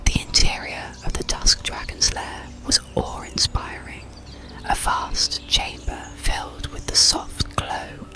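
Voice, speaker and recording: A storyteller narrating an ASMR bedtime story in a slow, soothing, hushed whisper. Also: very deep voice, creaky. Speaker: audiobook narrator.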